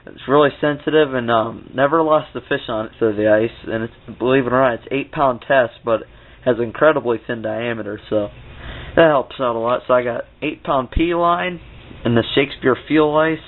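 A man's voice: mid-range voice, normal speed. A young man from Ohio, vlogging an ice fishing trip and giving gear tips in an upbeat, rambling way, recorded on a handheld camera. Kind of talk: casual outdoor vlog and gear tips. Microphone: handheld camera mic. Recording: lo-fi phone-like camera audio.

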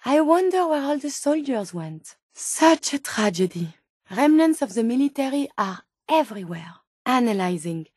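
A woman's voice: fairly high pitch, sing-song, normal speed, a little mellow, no echo, studio quality. A woman voicing a polite, curious female robot scientist in a video game, speaking formally and precisely with naive wonder. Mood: saddened. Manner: formal, precise wording with wide-eyed wonder. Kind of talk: scripted game companion dialogue.

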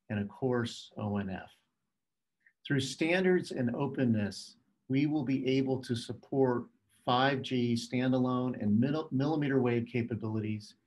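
A middle-aged man presenting a scripted keynote as a telecom executive, in a measured, formal, phrase-by-phrase way. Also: medium pitch, a little mellow.

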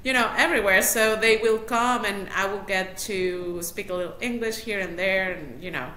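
Bright woman, roomy call. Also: mid-range voice, normal speed. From Mexico City. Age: middle-aged. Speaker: interview guest, matchmaker. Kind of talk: casual interview chat. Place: small room indoors, on a video call. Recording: roomy, noisy video-call audio.

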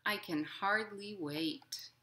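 A woman in her thirties hosting a storytime for young children at home, expressive and encouraging in simple words. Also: mid-range voice, slow pace. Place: living room at home.

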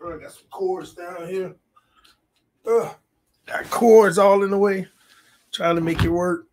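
A man hosting a casual livestream from his room at home while setting up a game, talking quietly because he is losing his voice. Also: high-pitched, fairly even intonation, takes it slowly, a little hiss or hum, poor audio. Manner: talks quietly to spare his voice, reads chat aloud. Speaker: sports channel host. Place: small home room full of fan decor. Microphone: desk mic on stand.

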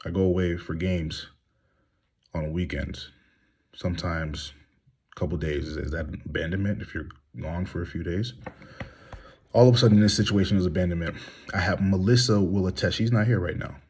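Man, 30s, very low husky voice, heated and blunt, phone selfie monologue from a small room. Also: moderate pace, darkish tone. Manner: heated, blunt, rhetorical questions. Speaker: vlogger. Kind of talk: candid monologue to phone camera. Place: small room indoors, reclining. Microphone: phone at arm's length.

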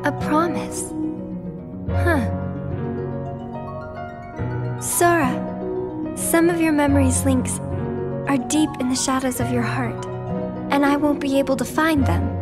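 An actress playing a gentle, wistful, reassuring teenage girl with a soft voice in a video game. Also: high voice, fairly even intonation, a little slow, long pauses, over loud music. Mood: tender, reassuring. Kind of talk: video game cutscene acting.

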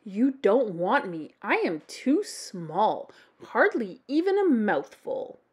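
A little owl knight from a storybook, acted out by a woman, timid yet putting on bravery. The voice is highish and hooting, with very lively rises and falls. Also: slow pace, breathy edge, exaggerated voice. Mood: nervous, brave. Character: small brave but timid young male owl knight.

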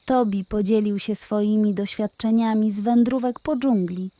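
A woman's voice: medium pitch, steady tone, moderate pace, dark tone, no echo. A woman narrating a scripted bedtime story for children.

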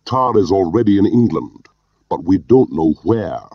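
A man's voice: low voice, lively intonation, a little slow, dry recording, pitched above the performer's own voice. Audiobook villainous boss: Scottish intelligence chief, accusing, pert and pompous, read by a male narrator. Very dry, muffled sound. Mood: stern, insistent.

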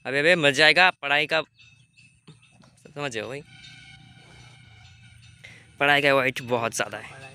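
A man's voice: medium pitch, a little slow, pauses often, bright, forceful tone, smooth, faint music behind. A teenage boy in casual vlog chat, chatty and informal, filming himself on his phone at arm's length outdoors. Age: teens. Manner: chatty, keeps calling viewers brother. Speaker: vlogger. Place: outdoors on a field, then at home. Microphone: phone selfie camera at arm's length.

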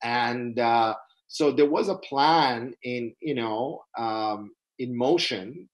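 A middle-aged man whose first language is Persian, giving an unscripted webinar lecture in a halting, earnest way with many restarts, recorded on a video call from a small room. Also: mid-range voice. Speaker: webinar presenter. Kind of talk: unscripted lecture answering questions. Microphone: webcam on a video call.

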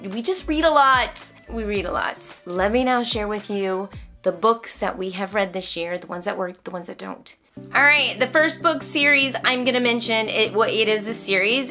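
An American woman in middle age speaks casually to camera in a mid-pitched voice. She is emphatic, often repeating a phrase for stress. Music plays behind her as she talks in a room at home. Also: pushed and bright. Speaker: homeschooling vlogger reviewing books. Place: indoors, small room at home.

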